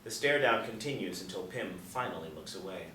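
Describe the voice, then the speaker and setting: Lowish-voiced man narrating in an echoey room. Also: lively intonation, a little fast, pushed and bright. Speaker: narrator reading stage directions. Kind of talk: scripted narration at a table reading. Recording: roomy, distant mic, faint noise.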